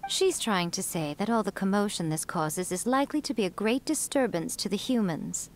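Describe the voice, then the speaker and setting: A woman's voice: medium pitch, animated, moderate pace, a little hiss or hum, natural voice. A woman voicing a sly, mischievous female fox spirit in a video game, composed and teasing. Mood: composed explaining.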